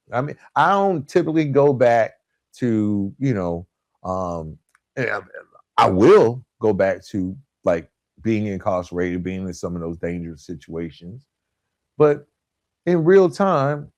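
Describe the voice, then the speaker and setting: A man's voice: medium pitch, a little mellow, no echo. A man hosting a podcast, giving a motivational coaching monologue, repeating key points for emphasis and often checking in with his listeners. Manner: emphatic repetition, checks in with "right?" and "guys". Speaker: podcast host.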